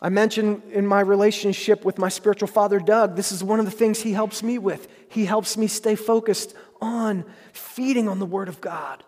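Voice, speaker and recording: A man's voice: sits high, fairly even intonation, normal speed, a little mellow. A man preaching a sermon as pastor, earnest and emphatic, repeating phrases for stress. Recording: preached in a hall with long reverb.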